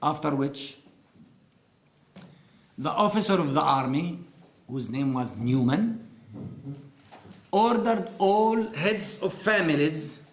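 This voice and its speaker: Older Arabic-accented man, interviewee; slow, deliberate testimony with emphatic pauses; echoey room. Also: on the high side, lively intonation. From Upper Galilee, Israel. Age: 60s. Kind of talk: personal testimony.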